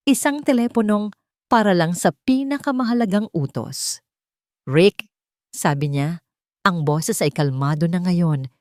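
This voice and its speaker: Female narrator for dramatic romance-thriller story. Lowish register, animated intonation, deliberate phrasing. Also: dry recording, clean studio sound.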